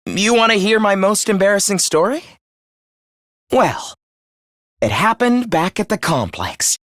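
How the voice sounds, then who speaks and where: A man's voice: high voice, big pitch swings, unhurried, a bit bright, dry recording, clean studio sound, full character voice. A man playing a young video game fighter who is eerily polite and fervent, speaking in theatrical, formal phrases of morbid devotion. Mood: musing. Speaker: voice actor. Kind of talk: dramatic video game character acting.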